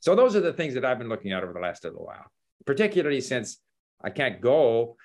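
Brief: older male host, lively storyteller over a webcam from a book-lined study. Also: medium pitch, fast talker. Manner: animated storytelling with gestures, chuckles. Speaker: language learning host. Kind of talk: casual video call chat. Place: home study with bookshelves. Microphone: laptop webcam video call.

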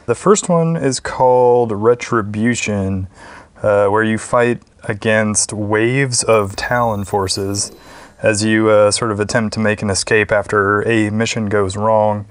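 Slow, low-key man hosting gameplay. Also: fairly even intonation, smooth, dry recording. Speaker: gaming channel host. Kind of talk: casual gameplay commentary.

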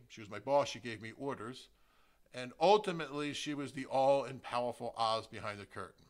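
A middle-aged American man from New Jersey hosting a podcast, giving a prepared solo introduction with emphatic, deliberate phrasing. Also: medium pitch, a bit bright. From New Jersey, United States. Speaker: podcast host, real estate agent.

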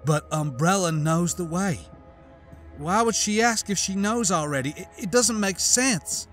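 A man's voice: a little slow, fairly bright tone, faint music behind. A man reading an audiobook, voicing an injured, grief-stricken female scientist and mother who pleads and wails in pain. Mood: bewildered. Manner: pained, pleading, turns frantic and shrill. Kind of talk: audiobook character voice.

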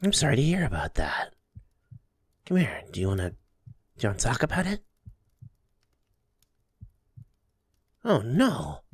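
A man playing a doting, teasing young boyfriend in an intimate ASMR roleplay, speaking softly and affectionately. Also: mid-range voice, wildly swooping pitch, fairly quick, slightly rough, no echo, studio quality. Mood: soothing, tender. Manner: soft, affectionate, playfully teasing, with chuckles and kisses.

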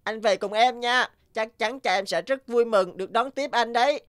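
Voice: medium pitch, moderate pace, a bit bright, clean tone, no echo, studio quality, natural voice. A performer voicing a friendly young male snake, a polite, childlike prince in a cartoon. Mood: eager, polite. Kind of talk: cartoon dialogue.

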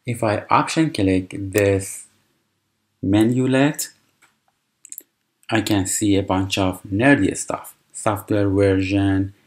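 A man whose first language is Persian, calmly walking through a computer tutorial with fillers and restarts. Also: lowish voice. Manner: unhurried, explanatory, with frequent fillers and restarts. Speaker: tutorial presenter. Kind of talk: screen-recorded software tutorial.

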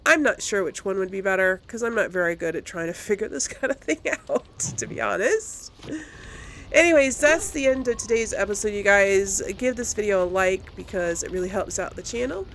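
A woman hosts a casual let's play in an informal, chatty way. Her voice sits at a middling pitch as she thinks aloud for her viewers. Faint noise and game ambience sit behind her. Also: fairly quick. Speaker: host of a video game let's play series. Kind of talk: casual gameplay commentary to viewers.

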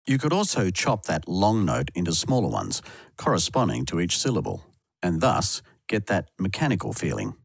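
A man with a low, husky voice narrates a scripted tutorial. His pitch moves around in a lively way, and the close voice-over sound is dry. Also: moderate pace, dry recording.

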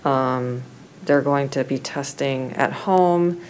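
A woman's voice: very deep voice, takes it slowly, a little mellow, slight background noise. A woman on a school board proposing next steps, listing items one by one. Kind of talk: public meeting discussion.

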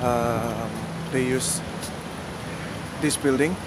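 Slow-talking man on a city walking tour. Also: medium pitch, steady tone. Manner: slow, plain, pointing out landmarks with pauses and fillers. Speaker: vlogger giving a walking tour. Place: outdoors on rainy city streets. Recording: outdoor city noise behind the voice.

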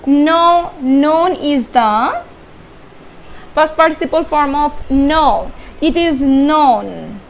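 A young adult Bengali-speaking woman with a Bangladeshi accent, explaining grammar step by step as a teacher, halting and repeating key words with emphasis. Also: sits high, highs cut off. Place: small indoor room with whiteboard. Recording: steady background room noise.

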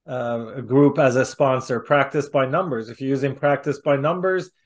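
A man's voice: mid-range voice, normal speed. A middle-aged man hosting a casual interview over a video call. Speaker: host, interviewer. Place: indoor room on a video call. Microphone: video call microphone.

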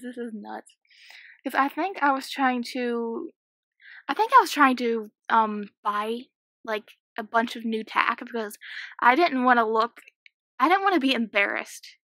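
Croaky teenage girl chatting over gameplay. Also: a little high, pushed and bright, dry recording. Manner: chatty, rambling, giggly. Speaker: gaming YouTuber. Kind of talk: casual game commentary. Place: small home room.

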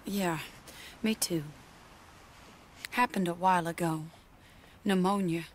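An actress playing an anxious, genteel young frontier widow, earnest and restrained in a game cutscene. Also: female voice, on the low side, slow pace, a little hiss or hum. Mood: subdued. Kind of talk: dramatic video game cutscene acting.

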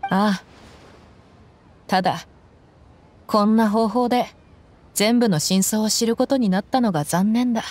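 A woman plays a young desert fighter in an anime-style game. She is tough and plain-spoken, with tomboyish casual phrasing and a good heart, at a middling female pitch. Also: a little slow, long pauses, a little mellow, lightly acted. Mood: reflective, resolved. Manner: blunt, tomboyish casual speech.

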